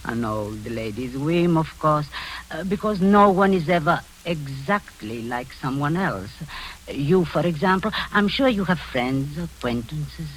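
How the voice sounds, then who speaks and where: An actress in her sixties playing a domineering, sweetly sinister old woman in a 1940s radio drama, speaking softly and insistently with low chuckles. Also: expressive, measured pace, rough recording. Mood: sinister, coaxing. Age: 60s. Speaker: Aunt Rita, Miss Crable. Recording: old radio broadcast with faint hiss.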